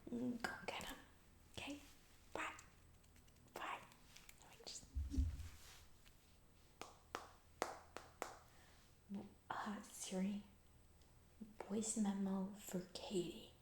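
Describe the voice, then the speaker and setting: A woman's voice: mid-range voice, slow pace, creaky, long pauses, reverberant. Whispering, self-absorbed lady boss played by a woman. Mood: patronizing, then casually scheming. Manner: breezy, rambling, trailing off, corporate-speak. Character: distracted, self-absorbed, callous corporate CEO boss woman. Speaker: performer of a comedy ASMR roleplay. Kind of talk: whispered ASMR comedy character roleplay.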